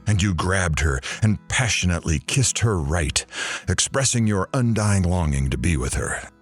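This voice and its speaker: A man's voice: low voice, normal speed, soft background music. A man playing a chatty, joking radio talk show host in an audio drama. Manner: animated, joking.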